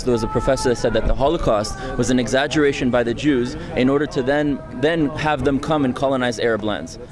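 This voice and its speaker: A young man from France giving a street interview outdoors on a campus, talking fast and insistently into a handheld mic. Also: mid-range voice. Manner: fast, insistent, argumentative, frequent tag "right?". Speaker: interviewee, student activist. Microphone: handheld mic held close by interviewer.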